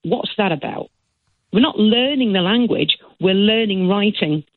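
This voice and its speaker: A woman's voice: medium pitch, animated, measured pace, dry recording. A woman calling in to a talk show and making her point with emphatic passion. Her voice comes through a dry, tinny phone line. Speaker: caller. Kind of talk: phone-in call.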